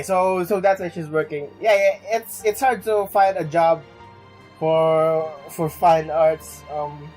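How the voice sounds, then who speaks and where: Let's play host: young male, fairly high voice, halting and rambling delivery, game music behind. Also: darkish tone. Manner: halting, stumbling, rambling, mild swearing. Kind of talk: casual game commentary, reading on-screen text aloud.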